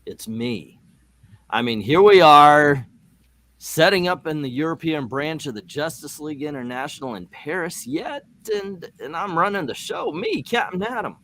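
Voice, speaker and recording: A man's voice: on the high side, fairly bright tone, a little hiss or hum. A man playing a nervous, self-doubting American superhero captain, hesitant and muttering, in an amateur comic book reading.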